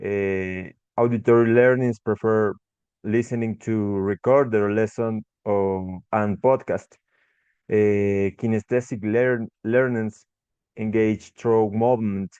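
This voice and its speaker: A young man with an Ecuadorian accent talks slowly through a class presentation, stopping to restart words and fill gaps with "uh." His low voice stays flat and smooth. The recording is dry and a little muffled. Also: dry recording. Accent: Ecuadorian Spanish. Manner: halting, frequent restarts and fillers. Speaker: English tutor.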